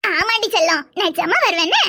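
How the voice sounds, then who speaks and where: A woman's voice: very high voice, moderate pace. A woman voicing an affectionate elderly grandmother in a cartoon, speaking with a southern Tamil Nadu accent and tender endearments, reluctant to impose. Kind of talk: cartoon character dialogue. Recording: very loud background noise.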